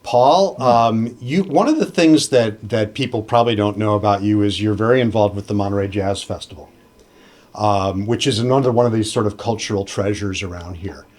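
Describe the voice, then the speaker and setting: A man's voice: fairly low pitch, normal speed, a little mellow. A man hosting a casual public radio conversation, asking colleagues questions with easy laughs and fillers. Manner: friendly interviewer, laughs easily, fillers. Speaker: radio host interviewing colleagues. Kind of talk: casual radio studio chat.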